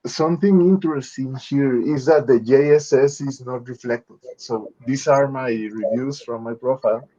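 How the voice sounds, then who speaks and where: A man's voice: mid-range voice, moderate pace, few pauses, warm tone. A man giving an informal update to a coaching group as a freelancer on an online call. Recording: slightly dull video call audio.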